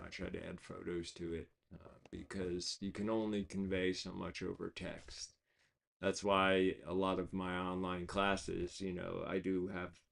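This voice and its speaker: Deep gravelly guy rambling slow on a livestream, hoarse, lots of ums. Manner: rambling, many fillers and restarts. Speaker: host of an online reading series. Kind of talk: casual livestream chat.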